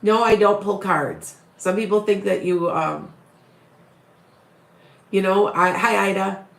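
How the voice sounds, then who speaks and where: A woman with a raspy voice and a head cold, chatting to her viewers on a live stream in a rambling way, often starting her sentences over. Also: medium pitch, slow, reverberant. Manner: rambling, restarts often, talks straight to viewers. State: head cold, sniffly and short-winded. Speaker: psychic medium live-streaming to followers. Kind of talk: casual live-stream chat.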